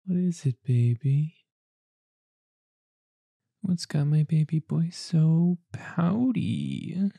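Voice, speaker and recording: Woman, ASMR mother roleplay, soothing and cooing, very slow, dark mellow voice. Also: deep voice, sing-song, gravelly, breathy edge, no room sound. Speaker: roleplay performer.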